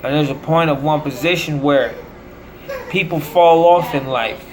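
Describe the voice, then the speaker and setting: A man's voice: fairly high pitch, unhurried, rough recording. An American man delivering a rambling monologue in short, declamatory, preacher-like phrases. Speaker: self-promoting musician.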